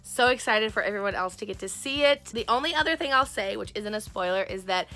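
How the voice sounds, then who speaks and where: A woman vlogger telling a story in a chatty way, gushing and excitable, her voice hoarse from losing it. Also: medium pitch, brisk, soft background music.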